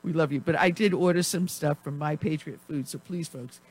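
A woman hosting a talk radio show, chatting in a rambling way with frequent laughs while her voice is going hoarse. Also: low-pitched, a little fast, darkish tone, rough recording. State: losing her voice. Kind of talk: casual talk radio chat.

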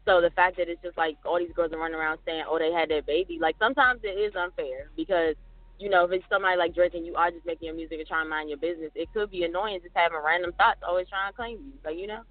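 A man's voice: fairly high pitch, steady tone, fast talker, dry recording. Guy co-hosting a podcast, rambly, says like a lot, dry tinny audio. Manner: chatty, rambling with many "like"s. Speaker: podcast co-host. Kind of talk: casual chat. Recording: tinny phone-like sound, dry.

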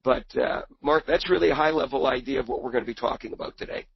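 A man with a cold and a hoarse, raspy voice, presenting in a webinar as a book co-author, rambling and repeating words as he goes. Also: medium pitch, quick pace, dry recording. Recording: dull, muffled webinar audio.

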